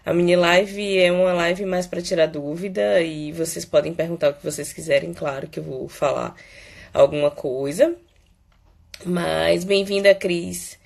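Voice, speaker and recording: A woman from Salvador, a psychologist hosting a casual live Q&A, hoarse and stuffy from a cold, chatty and peppering her talk with "né". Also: on the low side, steady tone. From Salvador, Bahia, Brazil. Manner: chatty, fills pauses with "né" and "é". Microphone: phone.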